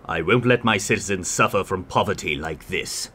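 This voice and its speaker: A man playing a kind, regal king in an animated fairy tale, speaking in a formal, declarative way. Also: low-pitched, normal speed, slight background noise. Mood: benevolent. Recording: music under the voice.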